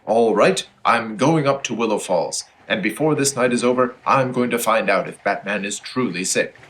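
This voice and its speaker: A man's voice: on the low side, moderate pace, fairly bright tone, natural voice, rough recording. An actor playing an earnest, resolute and protective male superhero, speaking formally in a comedic audio drama. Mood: resolute, concerned.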